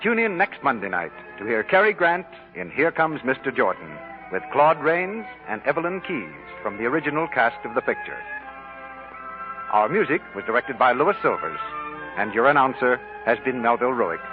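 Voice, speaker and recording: A man's voice: mid-range voice, big pitch swings, moderate pace. An American man reading scripted announcements in the formal, emphatic style of 1940s radio. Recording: vintage 1940s radio, muffled, music.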